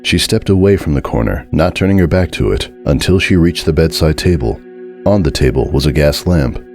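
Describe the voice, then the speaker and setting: A man giving a dramatic, scripted narration of a horror story in a measured, deliberate and suspenseful way. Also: very deep voice, expressive, darkish tone, soft background music.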